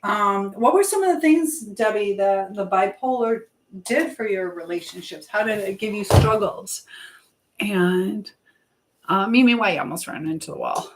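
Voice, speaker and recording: A woman's voice: medium pitch, lively intonation, normal speed, roomy sound, slight background noise, rough recording. A woman hosting a live stream in casual chat, drifting informally between advice to viewers and comments on what she is doing.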